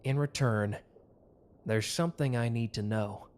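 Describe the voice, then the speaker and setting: Man voicing a protective young mafia guy, soft, intimate, reassuring, mid pitch, ASMR roleplay. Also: measured pace, slight background noise, lightly acted. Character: protective young male mafia member, tender.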